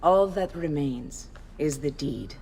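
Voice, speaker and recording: A calm, worldly mentor. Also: female voice, low voice, a little hiss or hum, full character voice. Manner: calm, measured, advising. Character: composed female courtesan mentor, worldly and calm.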